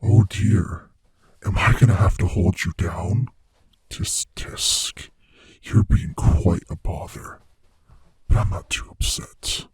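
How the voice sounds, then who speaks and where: A man playing a sadistic, playfully menacing monster from under the bed in a horror ASMR roleplay, with a breathy, raspy voice that often sinks into whispers as he taunts the listener. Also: low voice, fairly even intonation, dry recording, exaggerated voice. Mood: taunting, menacing. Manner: slow, taunting, savoring, hushed menace.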